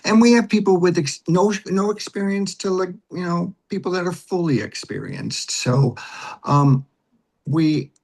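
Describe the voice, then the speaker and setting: A man's voice: high-pitched, measured pace, vocal fry, darkish tone. A man speaking conversationally in an interview as an acting teacher and director, with an emphatic, instructive manner that leans on repeated phrases.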